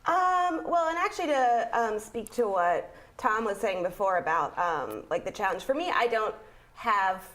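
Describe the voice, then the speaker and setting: A woman's voice: medium pitch, animated, moderate pace, fairly bright tone. A young woman, a stage actor, chatting casually in an interview with lots of fillers and light laughs. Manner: chatty, many fillers, laughs while talking. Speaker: actor in a community theater play.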